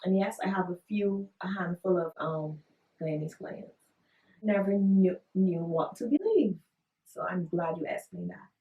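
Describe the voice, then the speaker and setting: Slow-talking woman host. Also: on the low side, narrow, tinny audio. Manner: candid, expressive storyteller. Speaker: podcast host. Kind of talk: casual chat. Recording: roomy echo, distant mic.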